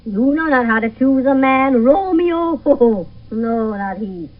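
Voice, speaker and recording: A woman's voice: on the high side, animated, slow. A woman playing a teasing, complaining, bawdy old nurse in a Shakespeare radio play, dawdling over her words. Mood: teasing, breathless. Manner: dawdling, groaning, chuckling. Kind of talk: 1970s radio Shakespeare acting. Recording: muffled phone-like vintage radio broadcast, distant.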